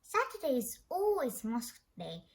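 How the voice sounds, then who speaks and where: Expressive woman reading a children's story, lively pitch. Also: normal speed, gravelly. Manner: expressive, with stumbles over words. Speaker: storybook reader. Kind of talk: read-aloud of a children's story.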